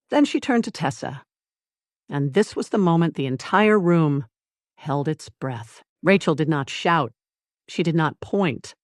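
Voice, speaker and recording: A story narrator with a low-to-middle voice delivers scripted drama in deliberate, measured phrases. Pauses set off the short lines for weight. The sound is close and dry, with no room on it. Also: dry recording. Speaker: audiobook narrator.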